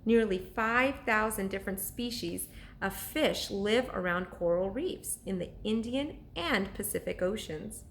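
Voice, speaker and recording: A woman reading a children's story aloud like a teacher to pupils, with expressive, deliberate narration. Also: medium pitch, a little hiss or hum.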